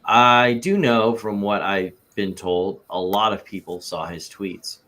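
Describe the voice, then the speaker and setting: Man reading as evasive, low-voiced businessman witness. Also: a bit bright. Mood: defensive, evasive. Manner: halting, repetitive, evasive. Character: evasive businessman witness dodging questions in a deposition. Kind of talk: amateur read-aloud of a deposition transcript.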